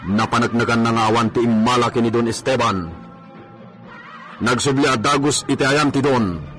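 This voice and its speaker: A man's voice: medium pitch, normal speed, music in the background. A man with an Ilocano accent narrating and announcing a scripted radio drama serial.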